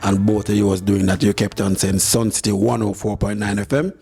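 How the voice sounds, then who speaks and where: A man judging contestants in a measured, halting way. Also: fairly low pitch, flat delivery, creaky, mellow, slightly rough. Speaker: judge.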